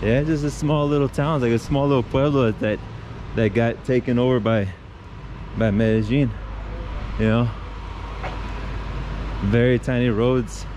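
A man's voice: medium pitch, steady tone, a little slow, long pauses. A man in his thirties casually chatting on a walking tour, easily impressed as he films himself outdoors with a handheld camera. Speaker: vlogger. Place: outdoors on city streets. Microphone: action camera held at arm's length. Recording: outdoor street noise, passing cars.

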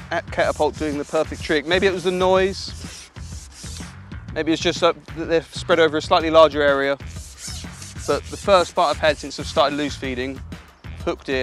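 A young man coaching listeners through an angling method in a relaxed, explanatory way, speaking partly as a voice-over. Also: on the high side. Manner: relaxed explanatory, practical tips. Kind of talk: instructional voice-over and commentary. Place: outdoors at a lake.

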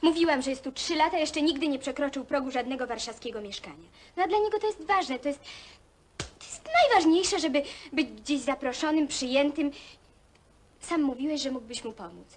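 A woman's voice: high-pitched, brisk, close to an everyday voice. A young actress playing a stubborn, earnest daughter arguing with her parents in a naturalistic film drama. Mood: pleading. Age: young adult. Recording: old Polish film soundtrack, faint noise.

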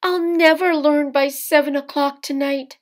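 A woman's voice: high-pitched, steady tone, unhurried, voice pushed up. A woman reading a storybook as a proud, curious, easily flustered young woman, emphatic and questioning. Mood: discouraged.

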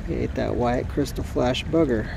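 A man's voice: mid-range voice, moderate pace, creaky, background noise. An American man in his thirties chatting casually and easygoing as a fly fishing vlogger, recorded on a phone outdoors. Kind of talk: casual outdoor vlog chat. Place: outdoors beside a small creek. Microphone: phone, handheld or nearby.

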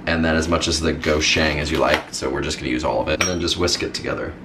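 A man's voice: extremely low pitch, fairly even intonation, rapid-fire. A young man cooking in his home kitchen, casually explaining each recipe step on a vlog. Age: young adult. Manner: easygoing, step-by-step instructing. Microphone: camera mic. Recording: roomy kitchen with background noise.

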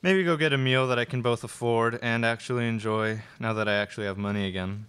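A man's voice: mid-range voice, fairly even intonation, fast talker, fairly bright tone, smooth, natural voice. A young man playing a nervous, reluctant outlaw sidekick in a student radio play. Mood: relieved, casual. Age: young adult. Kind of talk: student live radio play, read from script. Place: classroom studio, indoors. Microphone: stand microphone. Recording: noisy live room recording with clicks.

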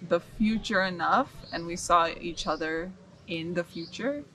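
A woman's voice: on the low side, unhurried, bright, forceful tone, a little hiss or hum, poor audio. A young woman from the United States, telling her story reflectively as an interview guest outdoors. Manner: reflective, searching for words. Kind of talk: casual interview chat. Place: outdoors in a rural yard. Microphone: camera mic, seated mid shot.

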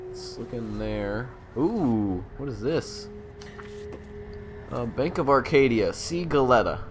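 Slow-paced young man doing casual game commentary. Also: medium pitch, a bit bright. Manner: jokey, slangy, thinking aloud. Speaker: gamer, walkthrough host. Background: faint game music.

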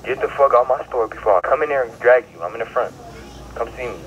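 A man's voice: mid-range voice, fast, fairly bright tone, highs cut off. A young man acting the angry boss over a walkie-talkie, crude, confrontational and full of slang. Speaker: prankster posing as store manager. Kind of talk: gruff threats and casual slang.

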